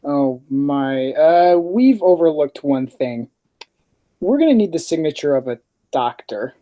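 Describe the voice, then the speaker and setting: A man's voice: a little high, sing-song, measured pace, highs cut off. A man playing a mild, lonely asylum superintendent in a radio play, formal and hesitant. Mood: officious, hesitant.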